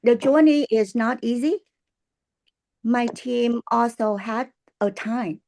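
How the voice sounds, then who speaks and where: A woman in her sixties with a Vietnamese accent giving a prepared testimonial in halting, earnest English. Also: fairly high pitch, a little mellow. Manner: halting, earnest, non-native English with pauses. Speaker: guest speaker, business distributor.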